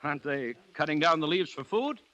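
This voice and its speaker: An actor playing an anxious, grave commissioner who recounts a jungle siege in a dramatic 1940s radio style. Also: male voice, fairly high pitch, lively intonation. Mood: alarmed. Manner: dramatic, measured storytelling with tense dialogue. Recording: vintage 1940s radio recording, loud music.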